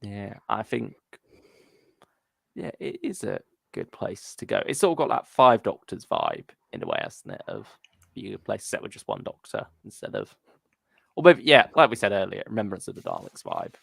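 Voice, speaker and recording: A man's voice: fairly low pitch, wildly swooping pitch, moderate pace, vocal fry, fairly bright tone, husky, dry recording, studio quality. A man co-hosting a podcast, chatting casually and a little hesitantly about fan topics and listener comments. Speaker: podcast co-host.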